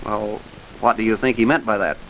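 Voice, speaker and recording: Fast-talking hard-nosed police detective. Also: male voice, lowish voice, fairly even intonation, a bit bright, poor audio. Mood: probing. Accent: American. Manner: probing, stern, no-nonsense. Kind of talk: vintage radio crime drama acting. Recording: hissy old radio recording.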